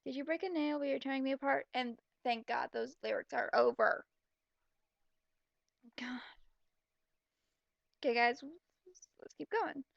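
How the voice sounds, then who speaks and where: High, creaky, sarcastic young woman. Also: a little slow, long pauses, dry recording, highs cut off. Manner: exasperated, mocking, blunt asides. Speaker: commentator reading fan fiction aloud. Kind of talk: sarcastic reading with running commentary.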